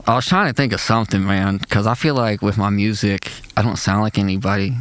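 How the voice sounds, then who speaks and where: A man's voice: lowish voice, fast, fairly bright tone, dry recording. A young man, a rapper and producer, with a nasal voice, talking loosely and casually in slang as a podcast guest, full of "like" and "man", into a close mic. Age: young adult. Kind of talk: casual interview chat.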